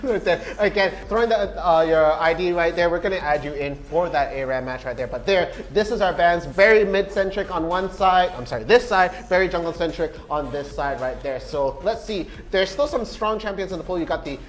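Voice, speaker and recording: A young man from Malaysia calls an esports draft with a high, light voice. He jokes with his co-caster and pumps up the crowd between picks, switching from easy chatter to loud hype. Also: fairly quick, few pauses. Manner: energetic, playful hype-building. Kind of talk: live esports commentary.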